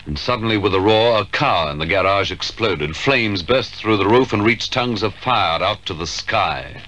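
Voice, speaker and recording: A man narrates an old radio crime play. His voice is low and his delivery formal and dramatic, with faint noise on the vintage recording. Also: expressive, moderate pace.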